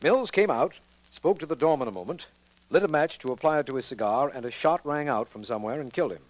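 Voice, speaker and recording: An actor playing a busy, matter-of-fact district attorney in a 1940s radio drama. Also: male voice, medium pitch, lively intonation, fast, no room sound, lightly acted, low-quality recording. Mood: urgent, matter-of-fact.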